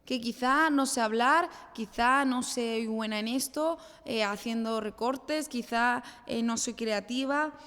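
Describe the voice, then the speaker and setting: A woman's voice: a little high, measured pace, hardly any pauses, fairly bright tone. A woman speaking as a podcast guest in a conversational interview, explaining her points and acting out little bits of self-talk. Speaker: guest, children's pastor. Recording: echoey hall-like reverb.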